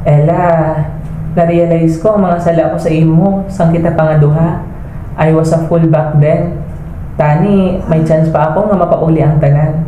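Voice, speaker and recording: Young actor as regretful humble ex-boyfriend, echoey. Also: male voice, a little high, flat delivery, moderate pace, lo-fi sound, slight background noise, close to an everyday voice. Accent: Hiligaynon. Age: young adult. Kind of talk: amateur radio drama acting.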